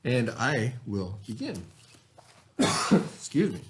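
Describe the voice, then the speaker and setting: A male teacher in a recorded lesson for his class, explaining words slowly with everyday examples and checking in often. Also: medium pitch, sing-song, heavy background noise. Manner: checks in often with "okay" and "right".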